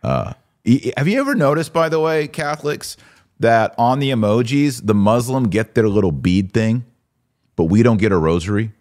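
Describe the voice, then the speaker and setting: A man's voice: medium pitch, big pitch swings, moderate pace, a little mellow. An American man hosting a podcast in a casual, opinionated and joking conversational way. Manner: informal, joking, reads out listener comments. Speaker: podcast host.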